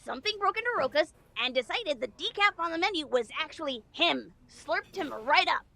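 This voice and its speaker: A woman playing a brash, hurried tech pixie in an audio drama, with a snappy, wisecracking delivery. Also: high-pitched, lively intonation, gravelly, pushed and bright, exaggerated voice. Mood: urgent.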